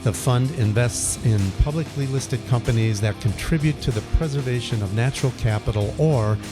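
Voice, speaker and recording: A man's voice: mid-range voice, normal speed. A man hosting a podcast and reading a scripted introduction of his guest. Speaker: podcast host. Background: guitar music.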